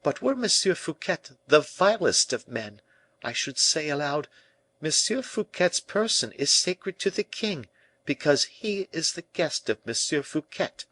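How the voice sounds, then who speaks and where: A man's voice: a little high, big pitch swings, measured pace, fairly bright tone, pitched above the performer's own voice, exaggerated voice. A man voicing an earnest, devoted young noblewoman of a royal court, pleading in formal speech for an audiobook. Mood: firm, indignant.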